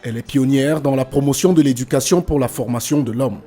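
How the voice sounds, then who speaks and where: A man's voice: medium pitch, fairly quick. A man reading scripted narration for a television portrait. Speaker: documentary narrator. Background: music.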